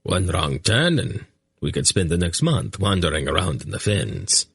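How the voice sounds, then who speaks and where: A man's voice: deep voice, big pitch swings, normal speed, creaky, fairly bright tone, husky, dry recording, studio quality, exaggerated voice. A man voicing a prudent, polite riverboat captain who gives sensible advice.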